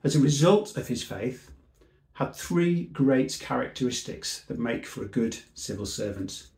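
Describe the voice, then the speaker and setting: A man who works as a civil servant, giving an earnest, measured seminar talk that trails off at the end of each phrase. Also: mid-range voice, a little mellow, reverberant.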